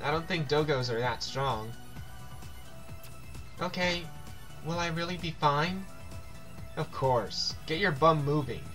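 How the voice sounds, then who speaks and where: A man's voice: fairly high pitch, slow pace, long pauses, fairly bright tone, faint music behind. A man doing casual game commentary, reading on-screen text aloud and stumbling over words now and then.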